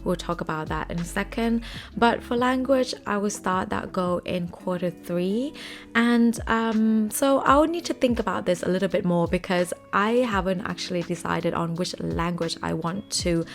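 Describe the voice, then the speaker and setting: A woman's voice: on the low side, moderate pace. Earnest Thai woman vlogging, music behind. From Thailand. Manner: earnest, chatty, with small slips. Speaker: finance vlogger. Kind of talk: casual talk to camera.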